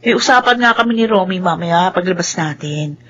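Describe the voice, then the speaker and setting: A woman's voice: fairly low pitch, lively intonation, fairly quick, fairly bright tone, lo-fi sound. A woman acting a sensible, easily exasperated office colleague in a radio drama, with a matter-of-fact manner.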